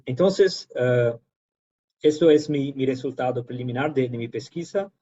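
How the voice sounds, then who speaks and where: A man's voice: medium pitch, normal speed, warm tone, muffled recording. A man from Brazil with a Brazilian Portuguese accent, whose first language is Portuguese, giving an academic talk as a guest lecturer, hesitant, with many fillers and restarts. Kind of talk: academic presentation.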